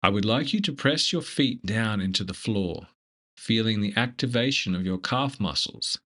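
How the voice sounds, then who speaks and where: A man leading a scripted guided meditation in a gentle, measured, instructive manner. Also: lowish voice, expressive.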